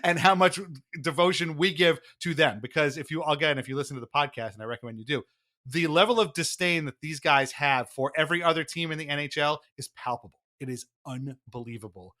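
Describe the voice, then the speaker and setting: A man's voice: on the high side, a little fast, bright, forceful tone. A man co-hosting a sports podcast, giving opinionated commentary in an emphatic, wryly exasperated way. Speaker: podcast co-host.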